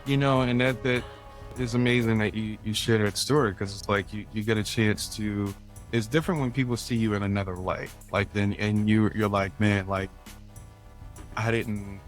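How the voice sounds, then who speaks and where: A man's voice: on the low side, fairly even intonation, normal speed, soft background music. A man hosting an interview, asking long, rambling questions in a casual and affirming way. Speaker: host.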